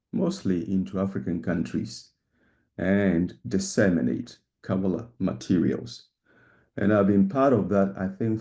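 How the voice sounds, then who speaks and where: A man sharing sincerely and at a measured pace at an online spiritual gathering. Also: low voice, dark tone. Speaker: community member sharing.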